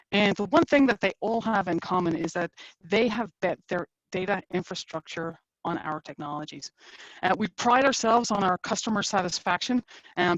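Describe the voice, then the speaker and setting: A middle-aged woman with an Irish accent gives a conversational company webinar presentation, filling pauses with uh and um. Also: medium pitch, no echo, lo-fi sound. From Ireland. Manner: conversational, frequent uh and um fillers. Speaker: engineering executive presenting a webinar.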